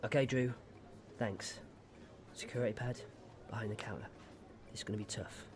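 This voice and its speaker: An actor playing a reluctant retired criminal and worried husband in a naturalistic radio drama. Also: male voice, lowish voice, steady tone, moderate pace, close to an everyday voice. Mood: tense, focused. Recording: clear background noise with clicks.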